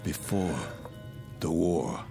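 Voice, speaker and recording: An actor in a radio drama playing a dazed, uneasy ship passenger who speaks haltingly and formally. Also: male voice, sits low, vocal fry, over music, exaggerated voice. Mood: confused, flustered.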